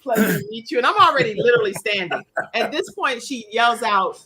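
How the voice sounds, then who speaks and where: A woman hosting a live interview show, chatting casually and telling stories in an animated way. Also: fairly high pitch, brisk, a loud crowd, poor audio. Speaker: talk show host.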